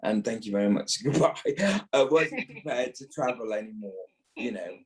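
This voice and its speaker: A man's voice: lowish voice, normal speed, low-quality recording. A middle-aged or older British man, an actor being interviewed, talking reflectively and repeating phrases as he thinks.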